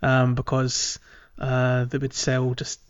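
A British man with a mid-pitched voice talks casually as a podcast host. His delivery rambles and hesitates, and the recording is a little dull and lo-fi. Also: monotone, slow pace, clear, resonant tone. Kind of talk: casual game discussion and chat. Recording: slightly dull, lo-fi audio.

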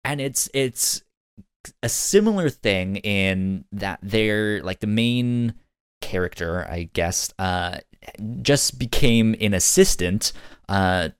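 A man co-hosting a podcast, chatting casually in a halting way and often repeating words as he goes. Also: lowish voice, animated, clear, resonant tone, no echo, studio quality. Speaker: podcast co-host. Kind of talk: casual podcast review chat.

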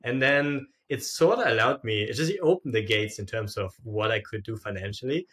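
Bright-voiced young man, casual. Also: on the low side, normal speed. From Austria. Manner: informal, frequent "like" and "sorta". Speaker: podcast guest, entrepreneur. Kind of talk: casual interview storytelling.

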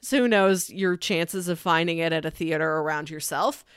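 A woman's voice: low-pitched, moderate pace, smooth, dry recording, studio quality. A woman with an American accent chatting enthusiastically and emphatically as she recommends films as a podcast co-host. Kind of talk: casual chat, film recommendations.

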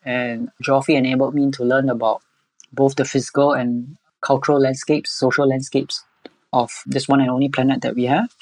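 Male podcast guest, Singaporean accent. Conversational, unhurried phrasing with hesitations, very little room sound. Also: mid-range voice, flat delivery, darkish tone, no room sound, rough recording. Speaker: podcast guest, environmental educator. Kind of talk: conversational interview answers.

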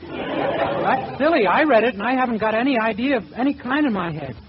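An actor playing a naive, polite, silly boyish young suitor in a 1940s radio comedy, speaking meekly with guileless deadpan. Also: male voice, very high voice, fairly quick, crowd noise. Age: young adult. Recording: muffled old radio, heavy hiss.

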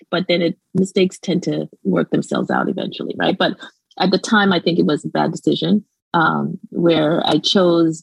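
Guest academic, female, Canadian with a slight Jamaican accent; low and gravelly, rambles with fillers and quick laughs. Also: no echo, low-quality recording. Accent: Canadian, light Jamaican. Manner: rambling, many restarts and fillers, frequent laughs. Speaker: guest scholar. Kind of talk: casual interview conversation.

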